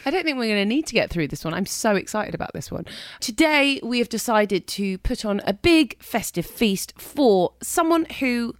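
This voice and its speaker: Bubbly woman host, animated delivery, casual home chat. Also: fairly high pitch, normal speed, hardly any pauses, no room sound, studio quality. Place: home kitchen.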